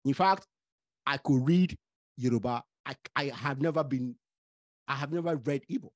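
A middle-aged man from Nigeria, hosting a podcast from a home study with a studio mic, speaking deliberately with stuttering restarts. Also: mid-range voice. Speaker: podcast host. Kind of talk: casual interview chat. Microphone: studio mic with headphones.

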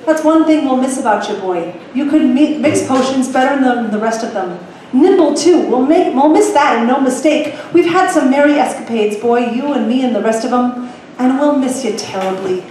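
A woman voicing a gruff, mocking older male pirate who holds a young man captive. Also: high-pitched, lively intonation, moderate pace, dark tone, slight background noise. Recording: echoey room, distant mic.

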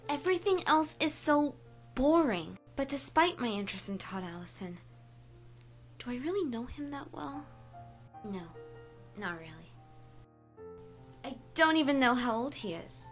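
A high, lively female voice from an actress plays an earnest, curious young woman in a webcomic dub. She is expressive and jumpy, with faint music behind her. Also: moderate pace, pauses often. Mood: earnest, fascinated. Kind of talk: dubbed webcomic acting and narration.

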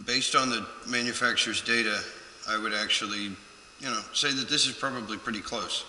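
A man's voice: medium pitch, steady tone, moderate pace, sharp tone, reverberant, in a large hall, a little hiss or hum, poor audio. Man narrating HVAC work on a head camera. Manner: methodical, talks through readings. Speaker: HVAC technician. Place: attic, garage and outdoors in snow. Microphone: head-mounted action camera.

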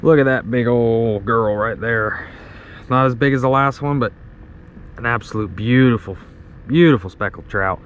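A man with a medium-pitched voice talks casually to his viewers as he fishes from a kayak. He marvels and repeats himself as he goes. The recording is outdoors on an action camera, with noticeable ambient noise around the voice. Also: normal speed. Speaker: fishing vlogger. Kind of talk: casual live fishing commentary to viewers.

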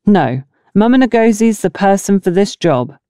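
Female narrator as village seamstress, dryly sardonic and blunt, mellow tone, lively inflection, audiobook reading. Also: medium pitch, measured pace, no echo, studio quality, natural voice. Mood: firm, dry. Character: blunt, sardonic village dressmaker, incredulous woman.

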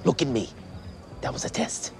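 An actor playing an undercover cop faking a pompous foreign prince, speaking in a lowered, haughty put-on voice that bursts into shouting. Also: male voice, normal speed, a crowd in the background, lightly acted. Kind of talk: film comedy acting. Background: film score.

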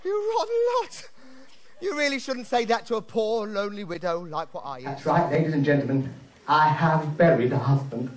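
A man's voice: fairly high pitch, lively intonation, brisk, heavy reverb, lightly acted. A man with an English accent playing a cheeky, poor widowed pantomime dame, delivering theatrical comic patter to an audience. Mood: mock sorrow. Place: theatre stage, recorded from the audience. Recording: distant noisy stage recording with audience.

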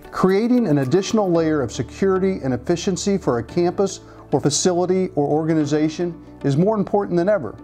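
An American man in his fifties giving a scripted product presentation as a company spokesperson, in a measured, businesslike manner. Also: a little high, mellow. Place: office conference room, indoors. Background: background music.

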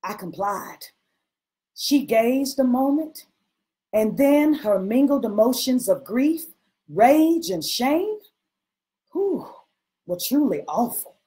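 A woman giving an expressive, theatrical reading of a period novel, pausing deliberately between phrases. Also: fairly high pitch. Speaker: reader at a literary event. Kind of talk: expressive dramatic reading of a 19th-century novel. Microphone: video call.

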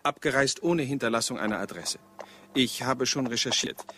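A man's voice: mid-range voice, normal speed, a bit bright, a little hiss or hum, natural voice. Man playing pedantic, deferential detective sergeant. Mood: precise, reporting. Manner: deferential, formal, precise. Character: dutiful, pedantic, literal-minded police detective sergeant. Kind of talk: German radio crime drama acting.

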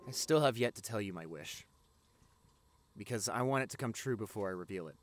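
A young man playing an affectionate, excitable vampire boyfriend in an intimate audio roleplay, gushing and stammering. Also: lowish voice, fairly quick, close to an everyday voice. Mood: tender, content. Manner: gushing, stammering, repeats himself.

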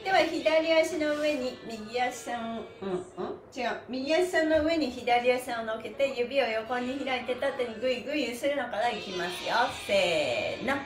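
A woman's voice: a little high, expressive, normal speed, few pauses, faint music behind. A woman leading a live stretching class, cheerful and encouraging, chatting with viewers and chanting counts. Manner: cheerful, encouraging, often laughing. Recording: roomy echo, faint background noise.

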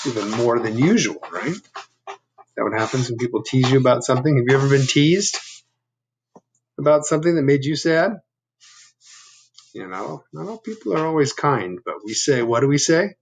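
A man in his fifties reading a picture book aloud to children, adding chatty asides and gentle questions. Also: mid-range voice, slight background noise, poor audio. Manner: asking the listeners gentle questions. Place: living room at home. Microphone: laptop webcam at arm's length.

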